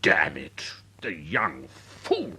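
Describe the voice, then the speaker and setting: A pompous older English major for a radio play. The voice is low but swoops dramatically up and down, upper-class, fussy and snobbish, full of theatrical bluster. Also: male voice, wildly swooping pitch, very slow, sharp tone, breathy edge, heavily acted. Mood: vexed, blustering. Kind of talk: British radio drama acting.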